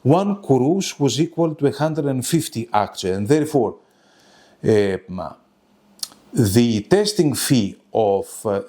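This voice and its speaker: Male academic presenter, slow delivery, mid-range pitch, halting and formal. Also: darkish tone. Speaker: lecturer presenting a web seminar. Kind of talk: academic lecture.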